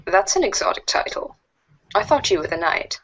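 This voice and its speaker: A woman's voice: mid-range voice, sing-song, normal speed, a bit bright, a little hiss or hum, rough recording. A woman voicing a formal, curious alien troll girl with wordy, stilted phrasing, in an amateur reader's theater. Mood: prim.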